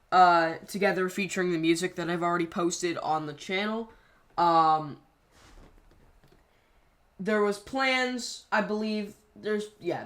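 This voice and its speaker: A channel creator casually explaining the plan for a scrapped series finale, rambling with frequent pauses and restarts. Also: medium pitch, bright, forceful tone. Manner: hesitant, frequent ums and restarts.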